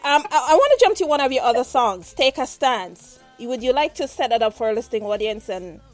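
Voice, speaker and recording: A woman's voice: fairly high pitch, lively intonation, a little fast, faint music behind. A Jamaican woman hosting a studio interview, enthusiastic and encouraging, laughing often. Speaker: interview host. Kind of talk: radio-style interview. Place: music studio.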